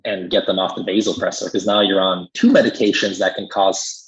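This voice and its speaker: Man, pharmacist guest, husky, lowish pitch, conversational explaining, dull recording. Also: fairly even intonation, a little fast. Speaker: critical care pharmacist guest.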